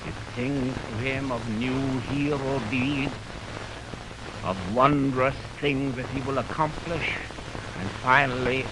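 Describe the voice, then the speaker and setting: A man hosting a 1920s radio music program, telling stories in a florid, dramatic manner. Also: mid-range voice. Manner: theatrical, florid, dramatic storyteller. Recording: noisy, warped 1929 radio aircheck on film.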